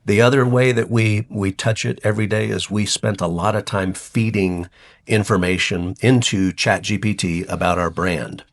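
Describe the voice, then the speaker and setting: A middle-aged man with a cold that deepens his voice, chatting as the guest of an interview. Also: moderate pace. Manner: relaxed and chatty, frequent restarts. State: has a cold, voice deeper than usual. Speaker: funeral home CEO, guest. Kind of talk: casual interview talk.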